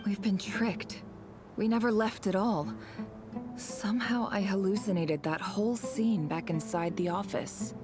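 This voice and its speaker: An actress playing a sly, commanding woman boss in a video game, with a deliberate, sardonic delivery. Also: mid-range voice, music in the background, lightly acted. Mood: troubled. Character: sly, commanding woman boss, coolly teasing and self-assured.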